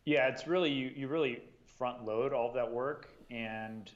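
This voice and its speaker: A man at an ordinary middle pitch, talking through advice in a relaxed, easygoing way and leaning on "um" and "you know" as he goes. His audio sounds a little dull and muffled, like a remote call. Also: normal speed. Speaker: guest, marketing and sales coordinator. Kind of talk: casual podcast discussion.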